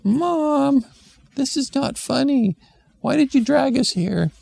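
Guy doing a high whiny little girl, grossed out and bored, voice jumps all over. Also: expressive, slow pace, vocal fry, a little mellow, full character voice. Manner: whiny, complaining, high-pitched.

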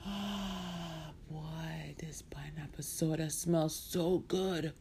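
A woman storyteller voicing an excitable, dramatic Jamaican woman who exclaims and questions herself aloud, with a Jamaican accent. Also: medium pitch, a little slow, slight background noise, lightly acted. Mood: delighted, savouring. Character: excitable Jamaican woman, easily delighted, growing bewildered and panicky. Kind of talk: acted oral storytelling.

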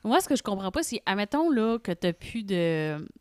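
A woman with a Quebec French accent and a medium-pitched voice, captured dry and close. She banters casually like a podcast co-host, playfully needling her partner and prompting the conversation along. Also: sing-song, normal speed, a little mellow, no room sound.

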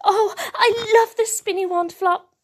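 A woman voicing an excitable, wistful little boy bunny in a storybook, childlike, hesitant and earnest. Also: very high voice, measured pace, voice pushed up. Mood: eager, wistful.

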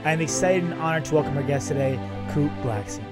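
A man's voice: a little high, quick pace, loud music behind the voice. A man hosting a podcast, reading a polished, upbeat intro and asking interview questions. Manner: upbeat, polished announcer delivery. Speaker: podcast host.

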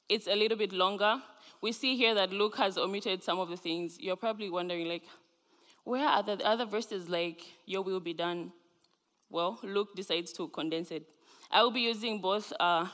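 A woman's voice: medium pitch, a bit bright. A young woman from Zambia, a senior student, giving an earnest chapel sermon with light laughs. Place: large chapel hall. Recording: live chapel hall with long reverb.

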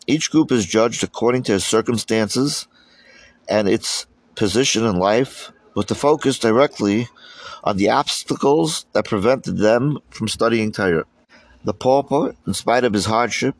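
A man's voice: fairly low pitch, no room sound, poor audio. A man with a congested voice from a head cold, reading a religious text aloud and explaining it as a teacher. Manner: reads aloud, then explains in plain words, with Hebrew terms mixed in. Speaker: Torah teacher.

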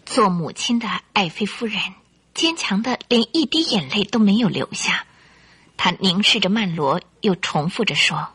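A woman's voice: mid-range voice, expressive, moderate pace, a bit bright, slightly rough. A woman narrating a Mandarin radio drama as a dramatic storyteller.

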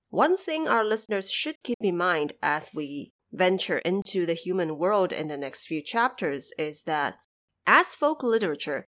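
Low-pitched woman podcast host, very lively intonation. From China. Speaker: podcast host explaining a story. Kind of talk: scripted explanatory narration.

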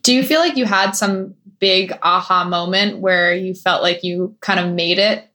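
A woman interviewer asking friendly, quick follow-up questions. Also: fairly low pitch, sharp tone.

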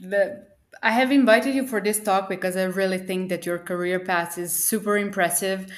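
A woman's voice: medium pitch, steady tone, moderate pace. Young woman hosting a podcast interview. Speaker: podcast host.

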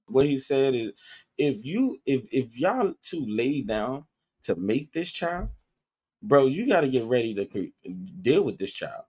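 A man co-hosting a podcast in casual chat, animatedly retelling what others said. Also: fairly high pitch. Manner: animated storytelling, quoting others. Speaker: podcast co-host.

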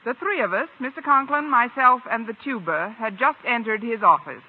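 An American actress playing a quick-witted, dryly wisecracking schoolteacher in a 1950s radio comedy. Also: female voice, fairly high pitch, animated, slightly rough. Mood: wry. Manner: dry, wisecracking. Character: wry, quick-witted adult female schoolteacher. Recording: vintage 1950 radio, narrow muffled band.

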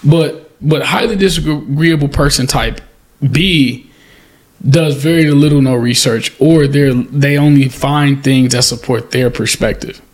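A man's voice: medium pitch, a little slow, a little mellow. A man hosting a podcast, explaining his ideas in a loose, conversational way with lots of "like" and "you know.". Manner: loose, conversational, many fillers. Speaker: podcast host. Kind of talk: casual podcast talk.

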